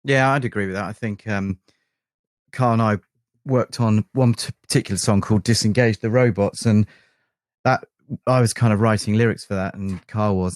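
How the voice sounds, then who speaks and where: A man's voice: on the low side, darkish tone, no room sound, studio quality. A middle-aged man, chatting reflectively and agreeably as a musician in an interview. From England. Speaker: band keyboardist, interview guest. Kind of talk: casual interview talk.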